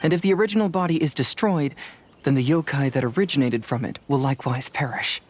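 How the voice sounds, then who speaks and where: A man playing a confident, analytical young detective in a video game, reasoning aloud in a deliberate, self-assured manner. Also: medium pitch, slight background noise, close to an everyday voice. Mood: firm, calm.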